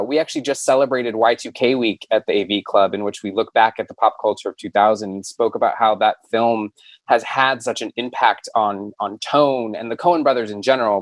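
A man's voice: lowish voice, no room sound. A man interviewing a guest in a conversational style.

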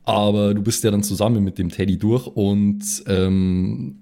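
A man's voice: on the low side, steady tone, measured pace, gravelly, mellow. A man chatting casually as a podcast regular. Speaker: podcast regular, role-player. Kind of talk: casual chat.

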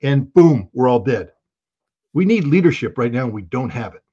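A man's voice: medium pitch, very animated, moderate pace, mellow, slightly rough, muffled recording. A man giving blunt, emphatic and scornful political commentary as an interview guest.

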